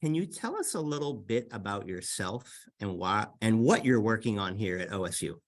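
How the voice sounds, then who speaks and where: A man's voice: on the low side, sing-song, normal speed. A middle-aged man hosting an interview over a video call from an office, upbeat and enthusiastic. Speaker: host, graduate student coordinator. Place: office with bookshelves.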